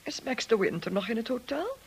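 Imperious husky older lady. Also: fairly high pitch, sing-song, a little fast, full character voice. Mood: impatient. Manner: haughty, drawling, complaining. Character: imperious, gossipy, snobbish older wealthy American woman. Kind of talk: radio drama acting.

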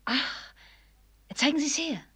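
An actress playing a proud, cerebral young woman in a German radio drama, articulate and formal, teasing and fervent by turns, with a breathy, husky voice. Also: sits high, slow pace, a bit bright. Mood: teasing, composed. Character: proud, cerebral young woman who rapturously loves mathematics.

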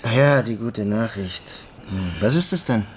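An actor playing an inquisitive lieutenant in a radio drama, polite and gently probing. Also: male voice, fairly low pitch, a little slow, a little hiss or hum, natural voice. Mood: tentative.

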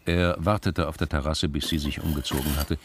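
A man's voice: very deep voice, fairly even intonation, fairly quick, noisy background. A man reading scripted literary narration for a radio crime drama.

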